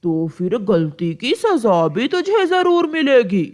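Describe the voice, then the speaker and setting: A woman's voice: on the high side, big pitch swings, slow pace, a little mellow, slight background noise. A woman voicing a cruel, bullying crow husband in a cartoon story, scolding and barking orders. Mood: angry. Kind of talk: Hindi cartoon story acting.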